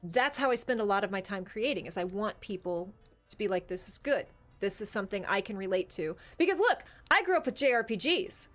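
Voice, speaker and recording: A woman's voice: mid-range voice, animated, brisk, fairly bright tone, faint music behind. An American woman speaking emphatically and casually, sharing opinions as a podcast co-host. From Bible Belt, United States.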